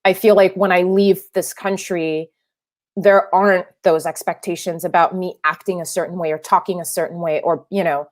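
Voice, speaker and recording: A woman's voice: lowish voice, flat delivery, normal speed. A young adult woman filmmaker whose first language is French, answering interview questions thoughtfully, with many restarts and fillers. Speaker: filmmaker guest.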